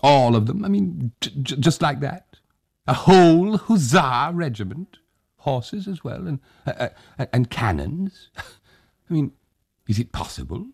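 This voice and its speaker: A man voicing an excitable old village man who fusses over his guests, eager and stammering. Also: medium pitch, a little slow, vocal fry, breathy edge, voice pushed up, exaggerated voice. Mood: incredulous.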